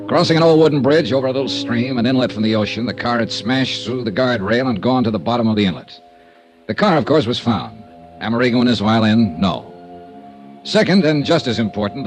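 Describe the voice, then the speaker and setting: An actor playing a wry, wisecracking freelance insurance investigator who also narrates, in a 1950s radio drama. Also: male voice, medium pitch, close to an everyday voice. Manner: wry, joking, laid-back narration. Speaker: lead and narrator.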